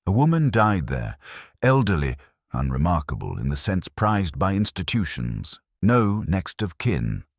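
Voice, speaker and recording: A man's voice: low voice, sing-song, unhurried, creaky, no echo, studio quality, voice pushed up, exaggerated voice. A man voicing an agreeable, dutiful junior policeman who reports drolly and matter-of-factly in a mystery story. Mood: dutiful, droll.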